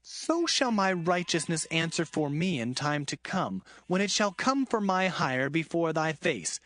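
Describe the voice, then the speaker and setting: A man performs a resolute herdsman of ancient times for an audio Bible drama. His voice is on the high side and animated, with earnest, emphatic delivery. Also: unhurried, no echo. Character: Jacob, earnest, resolute biblical herdsman and husband.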